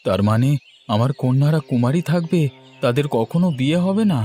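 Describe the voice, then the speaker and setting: A man's voice: medium pitch, expressive, fairly quick, mellow, faint music behind, natural voice. A man playing a devout, anxious king and father in a fairy-tale cartoon, with a theatrical, deferential and pleading manner. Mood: worried, pleading.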